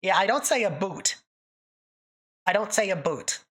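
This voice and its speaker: A woman's voice: medium pitch, expressive, measured pace, fairly bright tone, slightly rough. A woman from northern Canada hosting a livestream, chatting with viewers in a thick accent, joking and laughing often. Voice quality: thick put-on-sounding accent. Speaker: livestream host. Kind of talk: casual livestream chat answering viewers.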